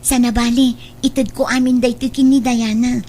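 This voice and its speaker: Mellow, soothing young woman played by an actress. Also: a little high, flat delivery, normal speed, a little hiss or hum, natural voice. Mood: kind, deferential. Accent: Ilocano. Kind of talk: Ilocano radio drama acting.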